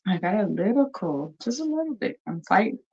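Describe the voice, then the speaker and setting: A woman's voice: mid-range voice, big pitch swings, a little fast, mellow, breathy edge, muffled recording. A woman hosting a live talk show, chatting casually and repeating herself as she greets viewers, with a cold. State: has a cold, coughing. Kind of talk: casual live-stream chat.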